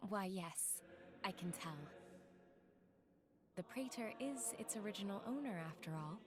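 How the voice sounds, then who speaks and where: Courtly game envoy, voiced by a woman: mid-pitched, serene, polite and measured, clear music bed behind. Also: expressive, natural voice. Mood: courteous, composed. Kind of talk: video game cutscene acting.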